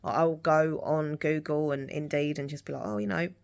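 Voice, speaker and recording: Husky, creaky woman rambling casually. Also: low voice, fairly even intonation, moderate pace, a little mellow. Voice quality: husky, dry throat. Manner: rambling, repeats herself. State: throat dry from hay fever, clearing it. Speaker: lifestyle vlogger. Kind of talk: casual rambling chat to camera.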